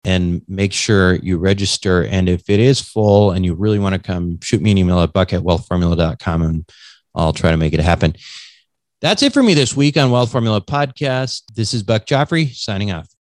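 Low-voiced podcast host, dry sound. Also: male voice, normal speed, no room sound. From Minnesota, USA. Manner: relaxed, with frequent "uh" fillers. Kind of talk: casual podcast hosting.

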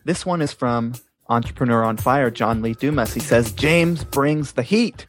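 A man from the United States co-hosting a casual podcast, easygoing and quick to laugh. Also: medium pitch, faint music behind. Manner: easygoing, laughs often, talks of a drawl others hear. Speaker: podcast co-host.

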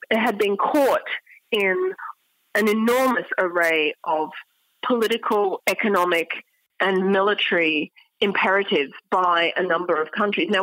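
A woman speaking as an academic expert in an interview, explaining in an articulate way with pauses mid-phrase. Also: fairly low pitch, a bit bright, no room sound, poor audio.

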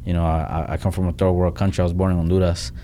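A young man with a very low voice, talking quickly and bluntly as a guest in a casual interview. His pitch stays flat and level, and he restarts sentences and tosses in "like" and "man" as he goes. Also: slight background noise. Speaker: real estate investor guest. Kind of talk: casual podcast interview talk.

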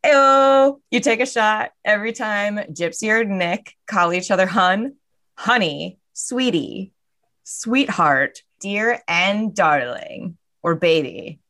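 A woman's voice: mid-range voice, a bit bright. A woman co-hosting a podcast, giving a playful scripted introduction. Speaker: podcast co-host.

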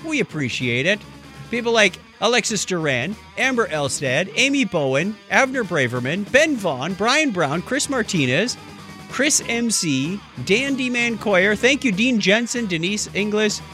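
An American man co-hosting a podcast in casual, jokey chat with an animated delivery. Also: high voice, normal speed, faint music behind. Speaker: podcast co-host.

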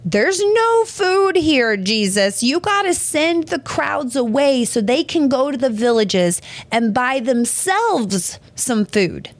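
Brief: fretful, slangy disciples, put on by a hoarse woman preacher. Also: high-pitched, big pitch swings, slow pace, few pauses, no echo, voice pushed up, full character voice. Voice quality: scratchy. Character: casual modern-talking male disciples, worried.

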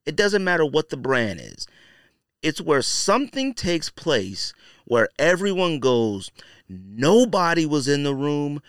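Clear-voiced man, blunt podcast host. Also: on the high side, very animated, slow pace, no room sound, studio quality. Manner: conversational and slangy, frequently saying "you know" and "man". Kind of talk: casual opinionated commentary.

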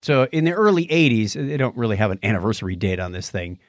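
A man's voice: lowish voice, big pitch swings, quick pace, dry recording, clean studio sound. A middle-aged man co-hosting a podcast, explaining and retelling things in casual tech chat. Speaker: podcast co-host.

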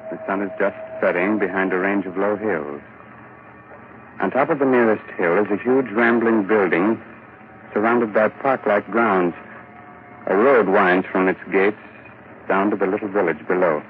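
A man's voice: lowish voice, normal speed. A male host narrating and introducing a 1940s radio horror drama in a sinister, theatrical manner. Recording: tinny 1940s radio broadcast, hiss.

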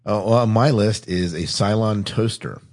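A man's voice: lowish voice, darkish tone. A man hosting a podcast in casual chat, with a jovial, easygoing way of talking. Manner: jovial, quick to laugh. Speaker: podcast host.

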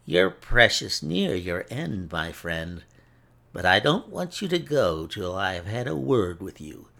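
Man playing a smug, sneering, gravelly villain. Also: sits low, sing-song, measured pace, pushed and bright, exaggerated voice. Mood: cold contempt. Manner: taunting, mock-polite, smug. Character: gloating, sneering male villain, cruel and smug.

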